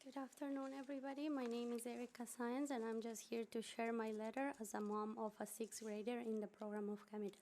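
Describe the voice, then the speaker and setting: Flat, gravelly woman. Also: fairly high pitch. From Ecuador. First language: Spanish. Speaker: parent giving public comment. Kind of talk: reading a prepared letter aloud. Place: public meeting room.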